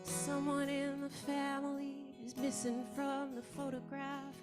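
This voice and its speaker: A woman's voice: high-pitched, monotone, unhurried. A woman singing a folk-style song. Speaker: singer. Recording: loud plucked guitar over voice. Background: plucked guitar music.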